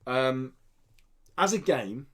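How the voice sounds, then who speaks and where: A man's voice: medium pitch, animated, extremely slow, fairly bright tone, slightly rough. A man co-hosting a casual gaming podcast, talking in an enthusiastic, rambling, informal way. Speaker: podcast co-host.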